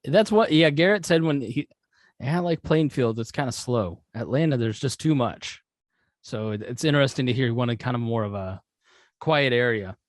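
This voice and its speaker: A man's voice: medium pitch, moderate pace, no room sound, studio quality. A man in casual podcast conversation, asking questions as a co-host.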